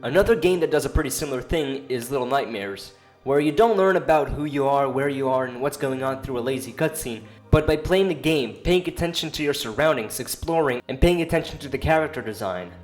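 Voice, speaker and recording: A man's voice: medium pitch, animated, a little fast. A man giving casual, informal opinion commentary as a video narrator, with the odd sweary, playful aside. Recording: music under the voice. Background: background music.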